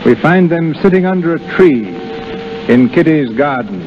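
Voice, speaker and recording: A man's voice: fairly high pitch, lively intonation, moderate pace. A man giving formal, polished scripted narration for a 1930s radio drama. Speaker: announcer, narrator. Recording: muffled 1930s radio, music behind.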